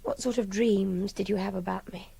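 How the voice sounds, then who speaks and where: An actress playing an articulate young captive in a radio drama, earnest and emotionally direct with a breathy voice. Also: female voice, medium pitch, moderate pace, mellow, full character voice. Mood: probing. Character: captive young woman, articulate, pleading, frustrated. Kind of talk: intimate radio drama dialogue.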